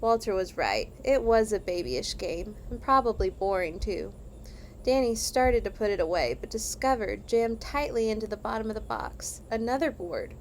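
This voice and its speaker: Female children's read-along narrator, animated and expressive, mid-pitched, slight background noise. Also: normal speed, poor audio. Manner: animated, acts out dialogue. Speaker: church children's worker reading aloud.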